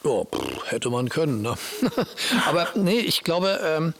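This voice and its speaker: A man's voice: fairly high pitch, sing-song, a little slow, creaky, fairly bright tone. A man talking casually and informally about Bible stories, often searching for his words as he explains. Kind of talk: casual conversational Bible discussion.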